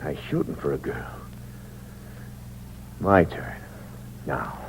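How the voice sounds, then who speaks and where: A man's voice: rumbling low, animated, slow pace, creaky, heavily acted. An actor playing a cold, hard-boiled con man who narrates in the first person in a 1950s radio drama, with tough, clipped slang and a menacing edge. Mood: narrating. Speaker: narrator and lead character. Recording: dull 1950s radio broadcast with hum.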